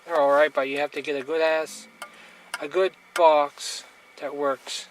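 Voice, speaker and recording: A man's voice: a little high, little pitch movement, a little slow. A man giving a casual hands-on gear demonstration in a blunt, plain-spoken, mildly crude manner. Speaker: hobbyist reviewer demonstrating radio gear. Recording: noisy amateur recording with clicks.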